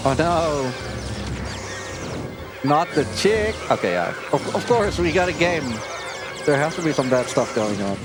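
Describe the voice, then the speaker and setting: A man's voice: on the high side, big pitch swings, over music. Chatty joking speedrunner guy. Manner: joking, chatty, quick laughs. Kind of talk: casual live commentary.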